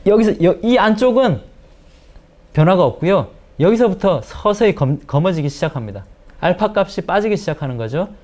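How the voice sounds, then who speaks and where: A man, giving a step-by-step software tutorial and repeating phrases as he explains. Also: high-pitched, expressive, moderate pace. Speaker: video editing instructor. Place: indoor lecture room in front of a large screen. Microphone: lapel mic.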